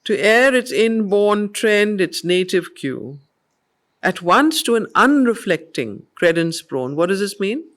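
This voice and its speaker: A middle-aged woman with an Indian accent, reading poetry and explaining it in a deliberate, emphatic, didactic manner as a study circle teacher. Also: medium pitch, sing-song. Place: group study session.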